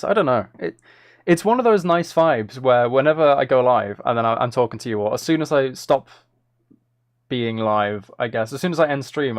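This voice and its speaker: A man's voice: mid-range voice, no room sound, clean studio sound. A man chatting casually on a live stream, playful and self-deprecating, thinking aloud as he works. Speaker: live streamer and music maker.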